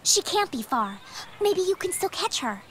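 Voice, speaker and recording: A woman voicing an excitable young girl in a video game, with an eager, exclamatory delivery. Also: very high-pitched, moderate pace, a little hiss or hum, exaggerated voice. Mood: worried, urgent.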